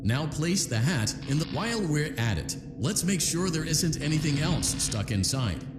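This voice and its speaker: Echoing in a big reverberant space over prominent music, a chipper male tutorial instructor for a video game. His delivery is polished and animated, giving step-by-step directions like an announcer. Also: on the high side, normal speed. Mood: instructive, upbeat. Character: chipper male instructor guiding a robot repair task. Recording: echoey hall reverb, loud music behind.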